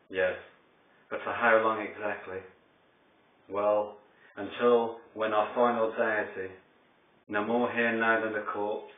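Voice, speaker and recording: A man reciting his poetry in a solemn, deliberate way, pausing between short phrases. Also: fairly low pitch. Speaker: poet reading own work. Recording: echoey, distant mic, thin phone-like sound.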